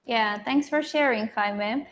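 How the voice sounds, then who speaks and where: A woman's voice: a little high, slow pace, fairly bright tone. A woman coaching a group informally on an online call in an encouraging, affirming manner.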